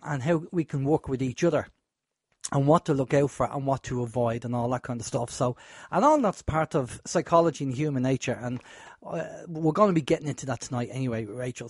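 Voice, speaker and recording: A man hosting a radio show, chatty and rambling, a bit hoarse from a sore throat. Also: medium pitch, darkish tone, no room sound, clean studio sound. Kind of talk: casual radio talk.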